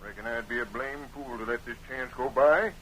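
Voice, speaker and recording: An American actor playing a smug, greedy Old West neighbor in 1930s radio drama style, with rustic frontier speech. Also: male voice, medium pitch, fairly quick, a bit bright, natural voice. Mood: gloating. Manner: rustic Western drawl wording, smug and unyielding. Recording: noisy 1930s radio recording.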